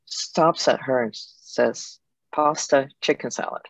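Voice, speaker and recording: A middle-aged woman from Japan giving reflective, halting, conversational answers as an interview guest on a video call. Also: rumbling low, creaky, dry recording. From Japan, with years in Germany and Italy. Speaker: interview guest, foundation president. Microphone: computer mic on a video call. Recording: dull video-call audio.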